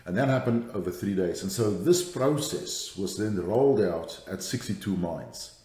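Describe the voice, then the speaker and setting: A man's voice: fairly low pitch, animated, normal speed, a little mellow, echoey room. A middle-aged man from South Africa with a light South African accent, a podcast guest who speaks thoughtfully with fillers and restarts. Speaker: guest, safety strategist. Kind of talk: podcast interview.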